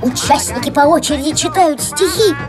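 A woman voicing a strict, proud elderly schoolteacher in a children's cartoon, brisk and emphatic. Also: high-pitched, close to an everyday voice. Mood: explaining keenly. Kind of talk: animated children's cartoon acting. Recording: music under the voice.

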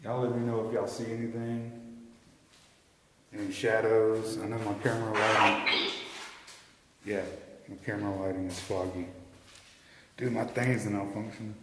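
A man explores an abandoned prison and talks in a mix of hushed asides and loud calls to any spirits. The echo rings long off the concrete walls, and a faint hiss sits under his voice. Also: on the low side, little pitch movement, normal speed, long pauses. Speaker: ghost hunter. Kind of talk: casual ghost hunting vlog. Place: large abandoned prison, indoors.

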